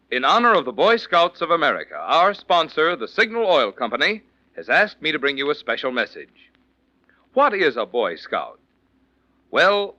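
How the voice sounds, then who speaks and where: A man delivers scripted 1940s radio announcements. His voice is fairly high, bright and very animated, on a dull vintage recording. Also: dry recording. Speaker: radio announcer reading sponsor message.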